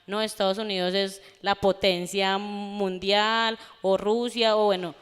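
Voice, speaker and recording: A woman's voice: medium pitch, flat delivery, clean tone. Earnest young woman. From Colombia. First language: Gunadule. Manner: earnest, halting with restarts. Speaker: audience member. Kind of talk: public forum remarks. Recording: long hall reverb.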